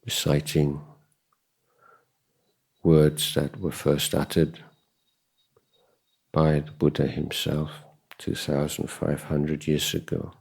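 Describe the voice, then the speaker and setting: Very deep, slow, gravelly male meditation teacher. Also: level tone, darkish tone. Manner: measured, contemplative, with long pauses between short phrases. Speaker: Buddhist monk and meditation teacher. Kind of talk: guided meditation dhamma talk.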